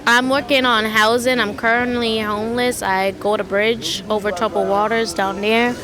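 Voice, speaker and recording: A woman's voice: fairly high pitch, level tone, moderate pace. A woman speaking openly and emotionally outdoors. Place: outdoors in a city. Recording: busy street crowd around.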